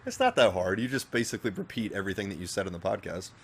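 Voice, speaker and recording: Swearing, animated podcast co-host. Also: male voice, on the low side, racing. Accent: General American. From Northern Virginia, USA. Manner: crude, swearing, animated and joking. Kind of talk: casual chat.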